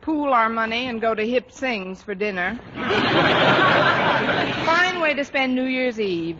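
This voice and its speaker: A sardonic lady schoolteacher in a 1940s radio comedy, tossing off dry wisecracks with a lively swing in her voice. The old broadcast is muffled and very noisy, with a loud audience. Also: fairly high pitch, unhurried, a loud crowd. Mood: wry. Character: wry, sardonic female high school English teacher. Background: loud studio audience.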